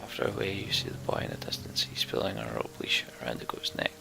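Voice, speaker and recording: A man's voice: sits low, very animated, quick pace, gravelly, background noise. A man narrating a fantasy game's story text aloud as a let's play host.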